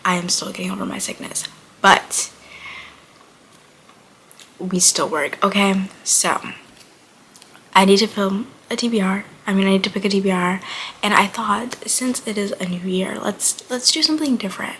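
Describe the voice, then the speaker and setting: Gravelly bright young woman, a bit under the weather. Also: mid-range voice, normal speed, long pauses, a little hiss or hum. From Buffalo, New York. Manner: chatty and rambling, frequent drop to a murmur. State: recovering from illness, voice slightly off. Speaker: book vlogger. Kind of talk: casual chat to camera.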